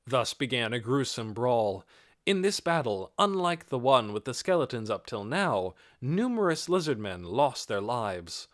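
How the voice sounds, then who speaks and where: Male narrator for fantasy audiobook: dramatic, lively intonation, deliberate phrasing, mid pitch, close dry sound. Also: no room sound. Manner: measured, deliberate phrasing, expressive.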